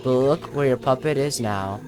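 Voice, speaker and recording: A man's voice: medium pitch, normal speed, music in the background. A man commentating casually on his own video game playthrough, thinking aloud as he plays.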